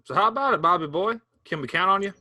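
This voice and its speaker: Guy reading a folksy farmer big brother, coaxing, bright lively voice. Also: high-pitched, fast talker, dry recording, full character voice. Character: easygoing older farmer brother who keeps the peace. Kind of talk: staged play reading.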